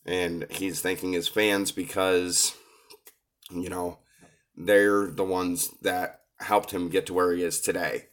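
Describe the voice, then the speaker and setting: A low-voiced man coming down with a cold talks through his take on a rap track. He pauses often and fills the gaps with hesitant ums. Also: little pitch movement, a bit bright. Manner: hesitant, many filler pauses. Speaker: reaction video host. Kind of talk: casual commentary on a rap song.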